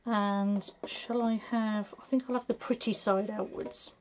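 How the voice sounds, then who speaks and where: A middle-aged woman from England, slightly hoarse, chatting her way through a papercraft tutorial at her desk with lots of fillers. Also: medium pitch, fairly even intonation, measured pace, slight background noise. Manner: chatty, rambling, full of fillers. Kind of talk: casual crafting tutorial.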